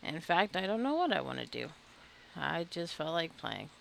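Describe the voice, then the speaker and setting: A woman giving relaxed, chatty commentary while playing a video game, talking to the game characters and laughing often. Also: low-pitched, normal speed, vocal fry, slight background noise. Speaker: gaming video creator. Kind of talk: casual gameplay commentary.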